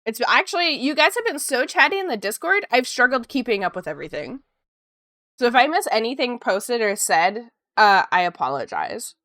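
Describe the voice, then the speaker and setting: A woman's voice: fairly high pitch, normal speed, dry recording, studio quality. A woman from Ontario, a sniffly art streamer chatting casually with her viewers while she draws. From Canada, Ontario. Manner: chatty, lively, reads chat aloud.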